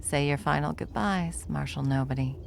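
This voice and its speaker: A woman playing a bored, smug, powerful villain in an ASMR roleplay, with a wry, taunting drawl and a creaky voice. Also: low voice, normal speed, noisy background. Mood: mocking, dismissive.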